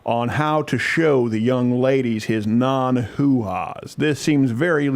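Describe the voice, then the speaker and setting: A man's voice: mid-range voice, slow pace. A man playing an indignant father on a prank complaint call, pompous and wordy, with mock-formal sarcasm. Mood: condescending, sarcastic.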